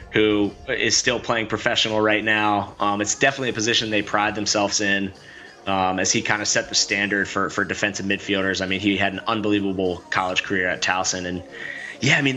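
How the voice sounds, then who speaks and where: A man's voice: lowish voice, bright, forceful tone. Rambling male lacrosse color commentator. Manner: rambling, full of "you know" and restarts. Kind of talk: sports analysis.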